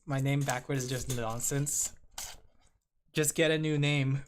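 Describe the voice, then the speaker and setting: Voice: medium pitch, fairly even intonation, a little slow, a little hiss or hum. A vtuber streamer who giggles often and chats playfully with viewers while drawing. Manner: giggly, playful, talks to chat. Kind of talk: casual livestream chat while drawing.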